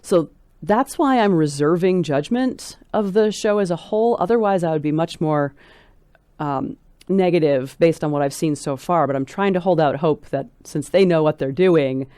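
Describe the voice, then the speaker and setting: A woman speaking as a scholarly interview guest, articulate and precise, phrasing her thoughts in a measured, thoughtful way. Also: low-pitched, warm tone, clear, resonant tone, no echo, studio quality.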